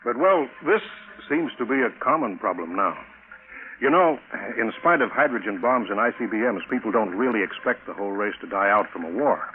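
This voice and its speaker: Male actor as space program chief, old radio sci-fi. Lowish, measured, businesslike, on tinny broadcast sound. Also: animated, a little hiss or hum, lightly acted. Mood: resigned. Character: matter-of-fact male chief of a space project. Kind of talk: 1950s radio acting.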